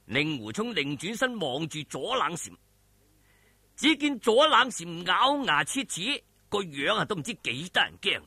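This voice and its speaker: Male storyteller, Hong Kong Cantonese, highish and lively, emphatic theatrical delivery of martial arts tales. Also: a little slow, slightly rough, dry recording. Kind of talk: dramatic wuxia audiobook narration.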